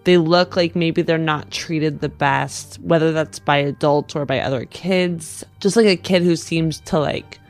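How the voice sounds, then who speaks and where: A woman's voice: low voice, steady tone, a little slow, few pauses, faint music behind. A woman playing a tabletop role-playing game, chatting casually and thinking aloud with some hesitation. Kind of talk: casual improvised game chat.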